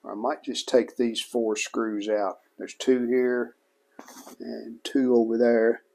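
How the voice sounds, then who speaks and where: A man giving casual hands-on narration of a repair as a hobbyist restorer, plain-spoken and unhurried, thinking aloud as he works. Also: medium pitch, flat delivery, creaky, a little mellow.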